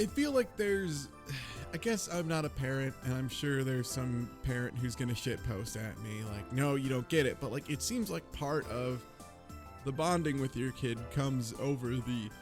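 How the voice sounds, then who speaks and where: A man's voice: medium pitch, a little slow. A man in a casual group chat about a video game, sarcastic and mildly profane as he talks. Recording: music behind the voice.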